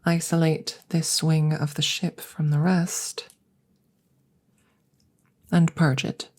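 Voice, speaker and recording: Woman playing a low, husky, clinical alien scientist. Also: flat delivery, darkish tone. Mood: clinical, matter-of-fact. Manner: formal, measured, deliberate, with breathy whispered phrases. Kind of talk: ASMR audio roleplay.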